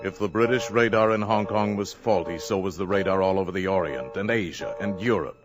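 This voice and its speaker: A man narrating a 1950s radio drama in a measured, dramatic storytelling voice. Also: low-pitched. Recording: old radio broadcast with music.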